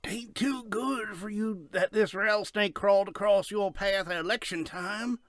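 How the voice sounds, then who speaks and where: A man's voice: high-pitched, a little slow, a bit bright, dry recording, studio quality. A man playing an opinionated cowpoke townsman in a comic western drama, talking in rustic, folksy style. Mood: concerned, griping. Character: opinionated male cowpoke townsman in the Old West.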